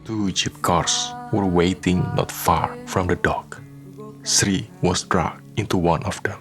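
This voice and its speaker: A man narrating a scripted radio drama in a solemn, measured way. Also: sits low, vocal fry. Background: faint music.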